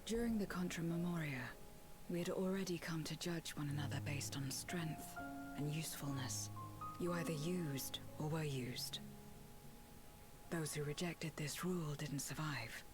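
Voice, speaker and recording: A low-voiced, somber woman warrior. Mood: somber, bitter. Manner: terse, somber, deliberate. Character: grave, distrustful woman warrior from a bleak world. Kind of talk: video game voice acting. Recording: game music behind the voice.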